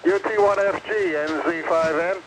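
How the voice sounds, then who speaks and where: Give me a fairly high-pitched man making clipped radio calls through loud static. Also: normal speed. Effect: radio transmission. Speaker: amateur radio operator. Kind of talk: clipped radio call exchange. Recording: very noisy ham radio satellite transmission.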